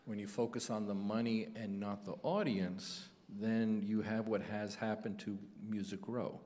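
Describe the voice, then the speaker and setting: A man's voice: lowish voice, fairly even intonation, measured pace, darkish tone. A man hosting a radio show on stage, chatting casually and repeating phrases for emphasis. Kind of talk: casual onstage interview chat. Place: stage of a live music show. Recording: reverberant hall.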